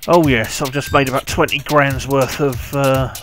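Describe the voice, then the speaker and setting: A man's voice: medium pitch, fairly even intonation, moderate pace. A British man giving casual, informal live commentary on a video game, muttering and repeating himself as he plays. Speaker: gameplay commentator. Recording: game music behind the voice.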